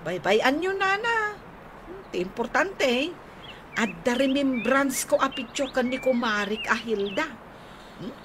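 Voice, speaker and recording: Woman acting eager, high-pitched, star-struck young woman. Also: lively intonation, measured pace. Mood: defensive. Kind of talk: comedy radio drama acting. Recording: radio drama with clear background noise.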